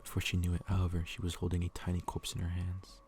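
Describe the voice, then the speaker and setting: A man reading a story aloud in a soft ASMR style, hushed and intimate, often slipping into whispers. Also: low-pitched, completely flat delivery, quick pace. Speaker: ASMR reader. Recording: faint background noise.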